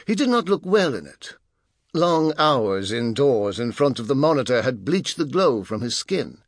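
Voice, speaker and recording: A man narrating an audiobook as scripted fiction, telling the story in a measured, dramatic and expressive way. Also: medium pitch, dry recording, studio quality.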